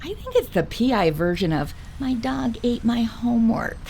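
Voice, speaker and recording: A woman acting as a playful, flirty newsstand owner in a radio drama, teasing and expressive. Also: fairly high pitch, moderate pace, slight background noise.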